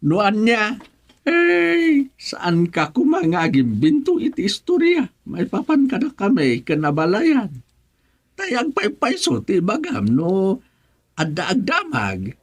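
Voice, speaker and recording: An actor playing an elderly, teasing, easily startled village grandparent in an Ilocano radio comedy drama, with a husky voice. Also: medium pitch, huge pitch swings, takes it slowly, full character voice.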